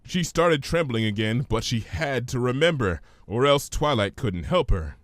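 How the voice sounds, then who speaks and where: A man narrates fan fiction from a script. His reading is expressive, with lively movement in pitch. The sound is dry, with no room on it. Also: normal speed, no echo.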